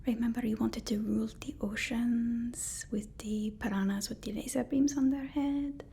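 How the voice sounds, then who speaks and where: A woman playing a devoted, anxious assistant to a supervillain in an ASMR roleplay, whispering in a halting, soothing, deferential way. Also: a little high, steady tone, creaky, warm tone, slight background noise.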